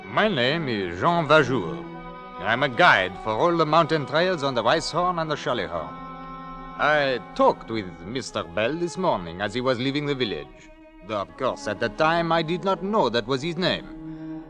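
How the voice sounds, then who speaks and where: Man playing a mountain guide, lively pitch. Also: normal speed, music in the background, natural voice. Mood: recounting, surprised. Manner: formal, hesitant foreign phrasing. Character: wary, courteous Swiss mountain guide, French-speaking. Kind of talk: 1940s radio acting. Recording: 1940s radio broadcast recording.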